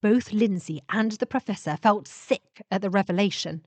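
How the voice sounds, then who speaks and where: A woman's voice: medium pitch, moderate pace, dry recording. A woman reading scripted narration for an audio adventure story.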